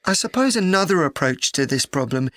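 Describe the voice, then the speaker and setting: A woman's voice: deep voice, animated, brisk, a bit bright, no room sound, studio quality. A woman playing an earnest university student in a scripted educational dialogue. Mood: earnest, thoughtful. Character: earnest university student discussing a joint presentation.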